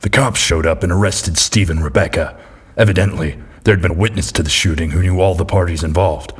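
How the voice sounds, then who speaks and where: A man playing a jaded, pill-popping hard-boiled detective who narrates deadpan in a comic noir audio drama. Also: low voice, a little fast, slightly rough. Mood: deadpan, grim. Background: background music.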